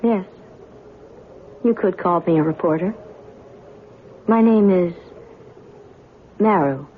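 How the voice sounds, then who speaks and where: Thin, faintly hissy radio play: mysterious woman reporter, aloof, measured, cryptic. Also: fairly low pitch. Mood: dry, guarded. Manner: measured, cryptic, self-assured. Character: cool, enigmatic woman reporter, knowing and aloof. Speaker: actor. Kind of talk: radio drama dialogue. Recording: tinny, band-limited old radio with hiss.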